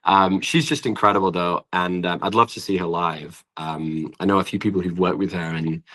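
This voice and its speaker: A man's voice: sits low, fairly even intonation, normal speed, bright, forceful tone. A young British man chatting casually and effusively as a musician guest in an interview, often hesitating and filling with "like". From Britain. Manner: effusive, informal, hesitant phrasing with frequent "like". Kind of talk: casual podcast interview chat.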